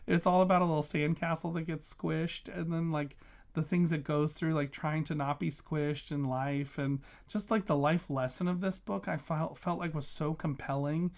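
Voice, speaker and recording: A man's voice: fairly high pitch, fairly even intonation, normal speed, a little mellow. Gushing, enthusiastic man chatting about favorites. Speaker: book reviewer and vlogger. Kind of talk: casual chatty book review vlog.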